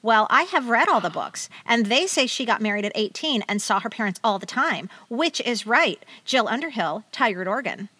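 Bright, high-voiced American woman co-host. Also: big pitch swings, normal speed, hardly any pauses, no room sound. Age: middle-aged. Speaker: podcast co-host. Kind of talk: casual chat with reading aloud.

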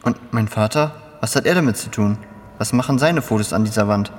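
A man's voice: fairly low pitch, fairly quick, lightly acted. An actor in a German audio drama playing a bewildered, anxious father who questions urgently and stammers. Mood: confused, upset. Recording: long reverb, faint noisy ambience.

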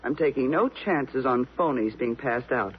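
An actress playing a tough, bossy American casino owner, curt and hard-boiled, in a 1950s radio drama. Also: female voice, a bass voice, lively intonation, normal speed, breathy edge, heavily acted. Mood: hard, defiant. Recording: dull old radio, faint noise.

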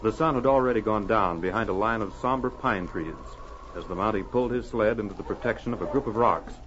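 A man's voice: fairly low pitch, quick pace, a bit bright, slight background noise. A man announcing and narrating an old radio adventure in a formal, emphatic, dramatic style. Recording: muffled old radio recording.

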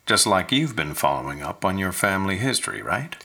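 A man acting in a radio drama as a guarded government agent, measured and insinuating as he probes. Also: low-pitched, sing-song, bright, forceful tone, exaggerated voice. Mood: probing.

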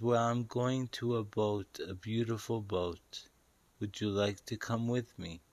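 A man narrating a guided meditation as a slow story, speaking deliberately in short, paused phrases and instructing the listener. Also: lowish voice, no echo.

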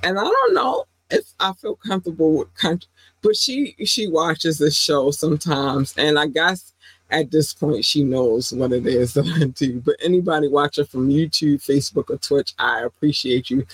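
A woman hosting her own podcast in a loose, rambling chat. She is warm-hearted and affectionate, laughs often, and her voice leaps up into excited squeals. The sound is dry and close, with no room echo. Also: normal speed, breathy edge. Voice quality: affectionate, calls listeners "baby", jumps into high squeals when excited. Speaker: podcast host. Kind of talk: casual solo podcast chat with shout-outs.

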